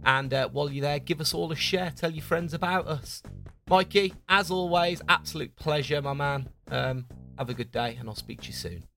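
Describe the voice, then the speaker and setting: A man's voice: on the high side, moderate pace, creaky, fairly bright tone, soft background music. A man co-hosting a podcast, chatting casually and teasing his guest. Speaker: podcast co-host.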